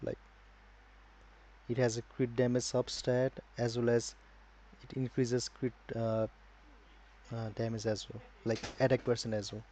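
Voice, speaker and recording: Mellow Nepali-accented man streaming casually. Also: medium pitch, little pitch movement, unhurried, long pauses, a little hiss or hum. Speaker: live game streamer. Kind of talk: casual live-stream chat and commentary.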